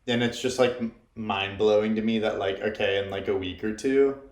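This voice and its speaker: A man's voice: lowish voice, fairly even intonation, normal speed. A young man co-hosting a podcast, easygoing and casual, with short agreeing replies. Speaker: podcast co-host. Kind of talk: casual chat. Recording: echoey room.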